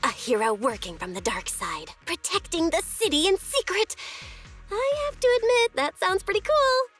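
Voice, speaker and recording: Idealistic young game heroine. High register, animated intonation, confident, earnest and cool-headed. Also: female voice, normal speed, exaggerated voice. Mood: intrigued. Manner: earnest, self-assured. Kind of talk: video game voice acting. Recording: music behind the voice.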